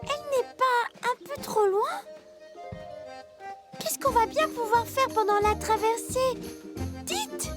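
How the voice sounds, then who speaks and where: A woman's voice: very high-pitched, takes it slowly, long pauses. A woman voicing a curious, cheerful little animal girl in a cartoon dub. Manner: curious, asks lots of questions. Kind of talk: French cartoon dubbing. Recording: loud music under the voice.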